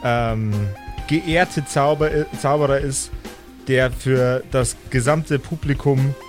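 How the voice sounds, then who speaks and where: A man's voice: mid-range voice, fairly even intonation, slow, soft background music. A man narrating an improvised tabletop game as game master, with a theatrical storytelling manner.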